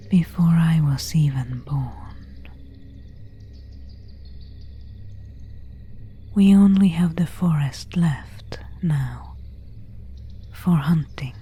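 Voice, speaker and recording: A woman voicing an elegant vampire countess in a whispered ASMR roleplay, courteous and quietly predatory, with formal, old-fashioned diction. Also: sits low, full character voice. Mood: softly guarded. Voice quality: breathy whisper.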